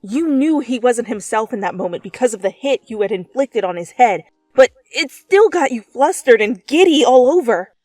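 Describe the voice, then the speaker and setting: Expressive woman reading a story, close and dry. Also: on the high side, normal speed, no room sound, faint music behind. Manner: theatrical, expressive. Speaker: fan fiction reader. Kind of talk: dramatic storytelling narration in second person.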